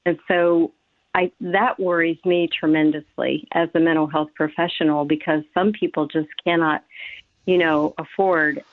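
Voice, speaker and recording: A woman's voice: low-pitched, fairly even intonation, normal speed, no echo. Woman guest on a tinny phone line. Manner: earnest, explanatory, many fillers. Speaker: mental health professional, guest. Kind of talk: radio interview.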